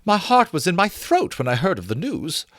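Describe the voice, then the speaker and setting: A man's voice: high-pitched, wildly swooping pitch, normal speed, a bit bright, no room sound, clean studio sound, voice pushed up, full character voice. A man voicing a genteel, effusive young society lady in a storybook reading. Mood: gushing. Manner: effusive, mannered politeness.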